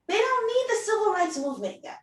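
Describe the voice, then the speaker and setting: A woman's voice: very high voice, sing-song, fairly quick. A middle-aged woman chatting casually as an interview host, telling stories and acting out what people said. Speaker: interview host and preschool teacher. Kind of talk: casual conversational chat. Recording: roomy echo, distant from the mic.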